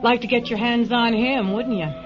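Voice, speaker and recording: A woman's voice: fairly high pitch, fairly even intonation, moderate pace, close to an everyday voice. An actress playing a haughty, bigoted woman supervisor in a radio drama, sneering with mock sweetness at an underling. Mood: menacing, contemptuous. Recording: dull radio recording with music behind.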